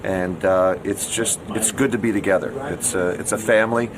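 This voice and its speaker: A middle-aged man gives casual interview answers. His voice is fairly low and he repeats words for emphasis. A crowd is plainly audible nearby. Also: steady tone, moderate pace, poor audio. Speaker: radio show staff member. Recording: busy room with chatter.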